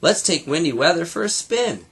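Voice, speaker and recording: A man's voice: on the high side, big pitch swings, normal speed, creaky, a bit bright, husky, pitched above the performer's own voice, exaggerated voice. A man voicing a cheerful, friendly cartoon mouse uncle who eagerly explains things, reading from a storybook.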